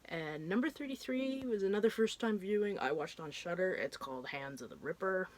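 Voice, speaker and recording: A woman's voice: fairly low pitch, expressive, fairly quick, a little hiss or hum. A woman in her thirties, stuffed up and congested, chatting casually and rambling a bit as she talks through what she has watched, with the odd casual swear. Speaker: horror film vlogger. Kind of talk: casual chat.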